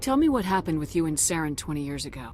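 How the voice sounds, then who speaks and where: A quick, resolute female commander. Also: deep voice, very animated, darkish tone, a little hiss or hum. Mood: probing, insistent. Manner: direct, assertive, clipped. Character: resolute, no-nonsense female military commander hero. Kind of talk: video game dialogue acting.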